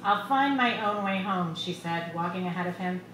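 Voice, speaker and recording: A woman's voice: fairly low pitch, moderate pace, a bit bright. A middle-aged woman, an author reading her short story aloud into a stand microphone at a live literary reading. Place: small bar room, live reading. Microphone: microphone on a stand, close. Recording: echoey room, clear background noise.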